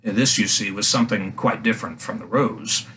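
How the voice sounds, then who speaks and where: A man reading a fairy tale aloud for young children as a storyteller, in an animated style. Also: fairly low pitch, a little fast. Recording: faint background noise.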